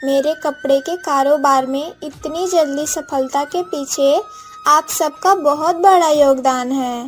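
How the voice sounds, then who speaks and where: A woman plays a wronged orphan girl from a village cartoon, high in pitch and unhurried. She speaks with earnest drama and a proud heart, with music playing underneath. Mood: proud, polite. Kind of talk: Hindi moral-story cartoon dubbing.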